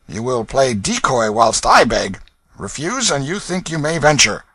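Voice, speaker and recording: A crafty young beggar-thief, voiced by a male narrator sneers and bullies in a raised, bright voice. He shifts between scoffing and whining, all of it dry and close to the mic. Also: mid-range voice, animated, moderate pace, no room sound, voice pushed up. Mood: bossy scheming. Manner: scornful, wheedling, bullying.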